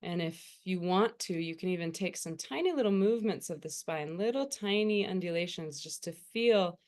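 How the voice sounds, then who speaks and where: A woman leading a guided meditation as a teacher, speaking gently and soothingly with unhurried pauses between phrases. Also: lowish voice, animated.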